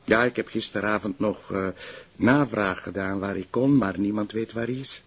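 Polite flemish cop, bit husky, measured, tv crime drama, slightly dull sound. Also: male voice, lowish voice. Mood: deferential. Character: calm, courteous male police detective, loyal colleague. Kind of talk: television crime drama acting.

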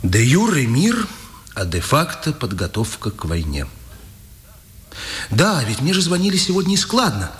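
An actor playing a tender, eager journalist husband in a 1950s radio drama. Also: male voice, mid-range voice, wildly swooping pitch, normal speed, pauses often. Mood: concerned. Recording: noisy old radio play recording. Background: airplane drone.

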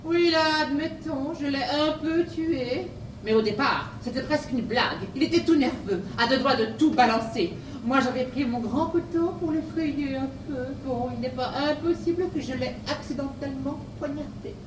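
A woman's voice: high-pitched, normal speed, recorded from a distance, close to an everyday voice. An actress playing a deranged, grandiose woman killer in a comic audio drama, with a theatrical, pompous, defiant manner. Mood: flippant self-justifying. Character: grandiose deranged female killer, fanatical devotee of pasta. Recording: roomy, off-mic, noisy action-scene sound.

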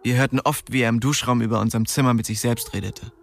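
A man's voice: mid-range voice, steady tone, fast talker, vocal fry, slight background noise, lightly acted. An actor playing a young streetwise man who recounts his small-town youth colloquially in a radio drama. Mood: sober recollection. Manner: colloquial, storytelling. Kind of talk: radio drama first-person narration.

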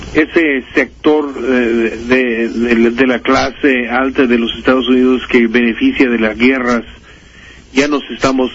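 A man giving live political commentary, often searching for words. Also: mid-range voice. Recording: dull radio line, faint noise.

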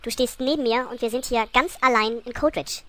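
A woman's voice: fairly high pitch, brisk, a bit bright, dry recording, close to an everyday voice. A woman voicing an exasperated, imperious gnome queen with a snappy, sarcastic manner in an amateur parody audio play. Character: bossy, exasperated female pink gnome queen.

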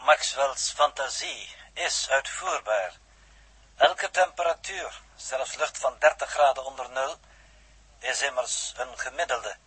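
A man's voice: medium pitch, slow, sharp tone, husky, no room sound, exaggerated voice. A male actor playing a mysterious, erudite renegade scientist in a 1960s Dutch radio drama, speaking with a Netherlands accent in a pedantic, elaborately polite and precise way. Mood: lecturing.

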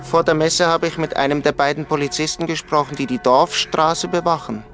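Young footballer, slightly high voice, earnest, plain-spoken, radio drama dialogue, soft music underneath. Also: male voice, steady tone, fairly quick. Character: earnest young village footballer sharing local rumours.

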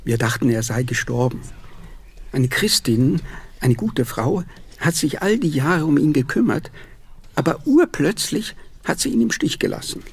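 A troubled, weary townsman in a radio drama. His fairly high male voice is creaky and lively, rising and falling in plainspoken, expressive phrases. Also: a little slow, full character voice. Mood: matter-of-fact. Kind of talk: German radio play acting.

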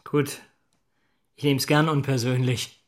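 An actor playing a sober, understated middle-aged systems consultant in a German radio drama. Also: male voice, medium pitch, little pitch movement, slow, natural voice. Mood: dry, intimate. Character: sober male Berlin systems consultant in a love affair. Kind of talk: German radio drama dialogue and inner narration.

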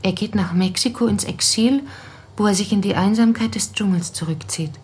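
A woman playing a thoughtful researcher in a radio play, speaking in a reflective, measured and breathy voice. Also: medium pitch, darkish tone. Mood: absorbed, thoughtful. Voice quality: very husky, breathy. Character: absorbed, thoughtful woman researching a mysterious author.

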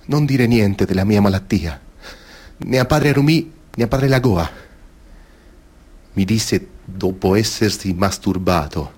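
An actor playing a grave former seminarian giving wounded testimony in a solemn, measured, theatrical monologue, in speech. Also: male voice, fairly low pitch, darkish tone. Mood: grave, pained.

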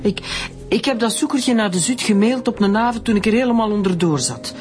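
An actress with a Flemish accent playing an anxious, middle-aged schoolteacher suspected of murder, protesting in a radio drama. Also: female voice, medium pitch, animated, brisk, a little mellow, faint music behind, close to an everyday voice. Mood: pleading, rattled. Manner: agitated, protesting.

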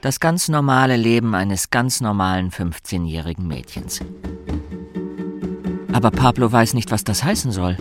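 A man's voice: lowish voice, lively intonation, normal speed. A man narrating a radio play in a scripted storytelling style. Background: music.

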